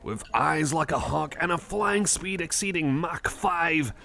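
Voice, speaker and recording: A man acts out a shy, eager, nerdy male cyborg in a casual ASMR roleplay. His voice is gravelly and very lively in pitch as he rambles hesitantly and apologetically. A busy cafe crowd murmurs behind him. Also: full character voice.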